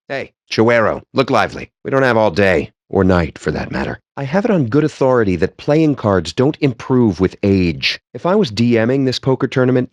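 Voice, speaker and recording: An actor playing a cocky, foul-mouthed, nerdy poker player in a video game, with a sardonic, smug and theatrically wordy delivery. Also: male voice, lowish voice, dry recording. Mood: impatient, sardonic. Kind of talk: video game character banter.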